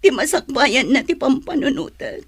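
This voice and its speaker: A woman's voice: high-pitched, lively intonation, normal speed, slightly rough, dry recording, heavily acted. A woman playing a long-suffering, betrayed mother in an emotional, plaintive radio drama style. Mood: tearful, anguished. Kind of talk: Philippine radio drama acting.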